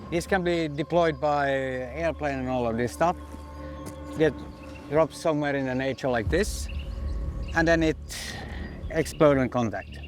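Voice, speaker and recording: A man's voice: on the high side, a little slow, long pauses, faint music behind. A man working as an instructor, explaining equipment in an informal hands-on briefing. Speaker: military instructor.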